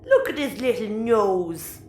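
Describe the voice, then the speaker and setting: An actress playing an excitable, playful and kindly crewmate in a sci-fi audio comedy. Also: female voice, mid-range voice, slow pace. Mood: excited. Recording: roomy echo, faint background noise.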